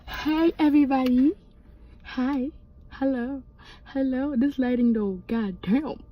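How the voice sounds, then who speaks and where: A woman's voice: high voice, slow, muffled recording, a little hiss or hum. A woman of twenty from Mali, raised in Senegal, telling a story in a chatty vlog from her car, swearing casually and breaking into asides. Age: 20s. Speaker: vlogger. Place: inside a parked car.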